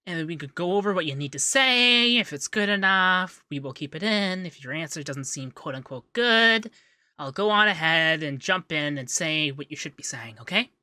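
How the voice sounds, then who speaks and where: A man playing a young, fussy, devoted femboy secretary in a scripted ASMR roleplay, chatty and expressive. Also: fairly high pitch, moderate pace, smooth, dry recording. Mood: teasing, efficient. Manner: chatty, expressive, bashful asides. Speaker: voice actor in roleplay audio.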